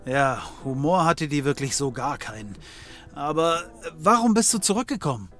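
Male private eye: excitable, flippant, higher-pitched and animated, for a comedy radio play. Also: normal speed, faint music behind. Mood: relieved, flippant. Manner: wisecracking, stammering when flustered. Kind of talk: German comedy audio drama acting.

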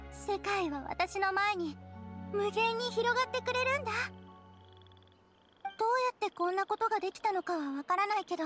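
A woman playing a gentle, wistful little girl in an anime fantasy game, with a soft childlike voice and earnest, hesitant delivery. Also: very high-pitched. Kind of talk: Japanese anime game voice acting.

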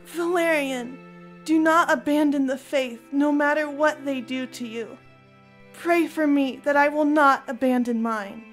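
A woman's voice: high-pitched, fairly even intonation, a little slow, soft background music. A woman playing a devout, defiant young Roman martyr in an audio drama. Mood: earnest, pleading.

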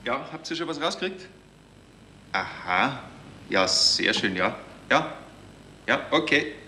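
An actor playing a matter-of-fact police officer with a Bavarian accent in a radio crime play. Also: male voice, medium pitch, big pitch swings, slow, bright, forceful tone, heavily acted. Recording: roomy 1970s radio play, faint noise.

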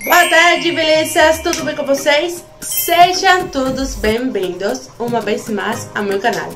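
A woman's voice: high-pitched, unhurried, over music. Cuban woman vlogging in learner's Portuguese. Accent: Cuban Spanish. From Cuba. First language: Spanish. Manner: careful learner's Portuguese with Spanish slips. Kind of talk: casual vlog to camera. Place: small room at home, indoors.

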